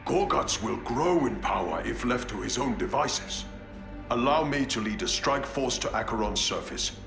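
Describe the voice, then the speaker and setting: An actor playing an honorable, stern space marine commander who speaks formally and gravely. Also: male voice, mid-range voice, animated, moderate pace. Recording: echoey game audio with music.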